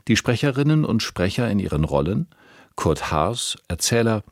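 A man's voice: on the low side, sing-song, moderate pace, no echo, clean studio sound. A man reading formal scripted credits as a radio announcer.

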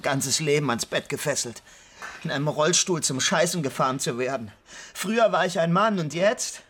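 A man's voice: fairly high pitch, expressive, normal speed, a bit bright. An actor playing a bedridden young man in a radio drama, bitter, mocking and full of self-pity. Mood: bitter, agitated. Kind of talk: naturalistic German radio drama acting.

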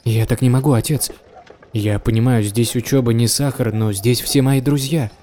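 A man's voice: medium pitch, fairly even intonation, moderate pace, dark tone, a little hiss or hum, lightly acted. An actor playing an earnest, stubborn and wry young man, a student, in an audio drama. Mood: reserved, firm. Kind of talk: Russian audio drama acting.

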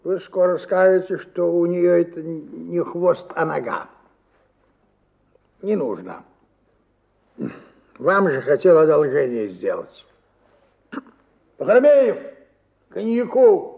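An actor playing a tipsy, boastful middle-aged army officer in a radio story, effusive and theatrical, drawling and wheedling. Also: male voice, a little high, sing-song, takes it slowly, gravelly, pauses often, exaggerated voice. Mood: huffy, resigned. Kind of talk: dramatized radio short story, partly sung. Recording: slightly muffled, guitar music behind.

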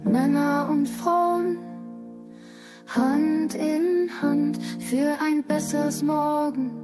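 A woman singing a slow ballad. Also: high-pitched, fairly even intonation. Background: guitar music.

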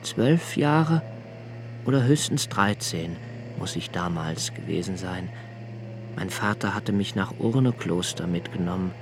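An actor playing a sensitive, impoverished young poet, speaking an introspective, deliberate monologue in a radio play. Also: male voice, sits low, lively intonation, background noise. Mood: hushed, remembering. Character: sensitive, brooding, poor young foreign poet and diarist. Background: music.

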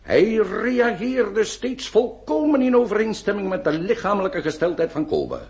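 A man's voice: high voice, sing-song, darkish tone, dull audio. A man acting in a radio play as a self-assured, vain nineteenth-century surgeon who speaks in a formal, pedantic, soothing way. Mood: matter-of-fact. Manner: pompous, formal, deliberate emphasis. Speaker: radio drama actor. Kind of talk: theatrical Dutch-language radio play acting.

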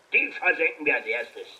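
A man's voice: on the high side, sharp tone, full character voice, low-quality recording. A man playing a boastful pirate racer giving a swaggering mock sports interview in a radio play.

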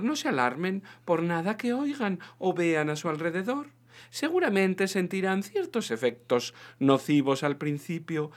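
A man plays a spaceship's announcer in a comic science-fiction story. The pitch is lifted, and the delivery is polite and soothing, like a flight attendant reading official notices. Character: calm, courteous spaceship announcer voice.

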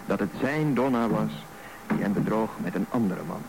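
A man's voice: fairly low pitch, animated, moderate pace, gravelly, darkish tone. A man narrating a scripted radio drama as storyteller. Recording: noisy radio broadcast recording.

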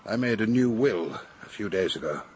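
An actor playing a wealthy, strong-willed older English gentleman in a radio drama, speaking bluntly and formally. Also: lowish voice, animated, moderate pace, slight background noise, close to an everyday voice, low-quality recording. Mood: firm, defiant.

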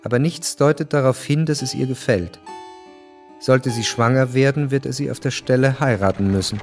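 An actor narrating a historical radio drama as a sober storyteller, measured and matter-of-fact. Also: male voice, medium pitch, soft background music, natural voice.

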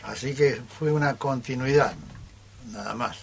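A man's voice: mid-range voice, unhurried, vocal fry, a little hiss or hum. A man speaking informally on live radio in a studio. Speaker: radio theatre troupe member.

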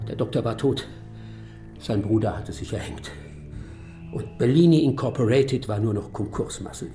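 A man's voice: medium pitch, mellow, roomy sound, close to an everyday voice. An actor in a German radio drama playing a talkative, wistful old male robot, a courteous, rambling ship's officer. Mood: mournful.

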